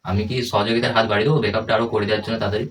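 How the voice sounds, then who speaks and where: Young man, very rapid casual talk, teasing and coaxing, lowish voice. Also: level tone, fairly bright tone. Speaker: prank caller. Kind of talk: casual phone chat. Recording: distant mic, faint background noise.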